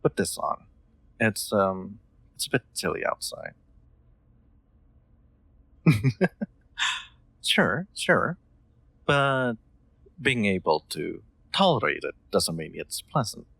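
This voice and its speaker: Male actor as soft-mannered aristocrat for audio drama: low, slow, gravelly edge, awkwardly polite, formal phrasing. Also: big pitch swings, dry recording, exaggerated voice. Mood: kindly insistent. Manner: hesitant, polite, formal wording with stammered ums. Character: gentle, awkward young lord, courteous automaton owner. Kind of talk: scripted audio roleplay, intimate one-sided dialogue.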